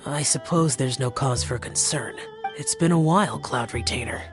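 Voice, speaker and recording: Actor as reserved immortal warrior, game. Formal, measured, mid-pitched, stern. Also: male voice, lightly acted. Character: solemn, reserved ancient immortal guardian warrior, youthful male. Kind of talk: video game voice acting. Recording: music behind the voice.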